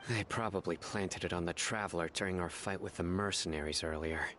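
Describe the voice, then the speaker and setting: A man playing a stern, calculating young lawman in a video game, serious, measured and matter-of-fact. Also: sits low, heavy background noise, close to an everyday voice.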